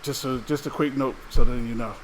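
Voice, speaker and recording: A man's voice: mid-range voice, fairly even intonation, fairly quick, slight background noise, low-quality recording. A man co-hosting a casual podcast who chats in an easygoing way and laughs between words. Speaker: podcast co-host.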